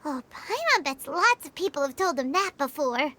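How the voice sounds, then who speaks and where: A woman voicing a tiny, childlike, excitable fairy companion in a video game, with a squeaky, cartoonish, expressive delivery. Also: moderate pace, heavily acted. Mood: chatty, concerned. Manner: squeaky, expressive, speaks of self in third person.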